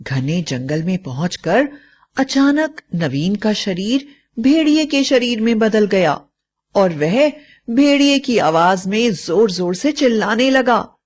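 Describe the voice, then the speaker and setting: A woman's voice: mid-range voice, very animated, slow, a little mellow. A woman narrator reading a scripted bedtime story for children.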